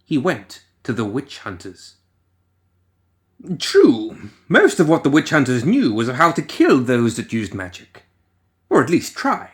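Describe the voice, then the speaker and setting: A man reading a story as a dramatic narrator, musing theatrically with deliberate pauses and rhetorical asides. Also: medium pitch, huge pitch swings. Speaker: audio fiction reader.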